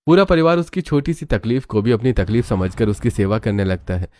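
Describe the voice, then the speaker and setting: A man's voice: on the low side, very animated, brisk, mellow. A man giving scripted storybook narration for a family cartoon.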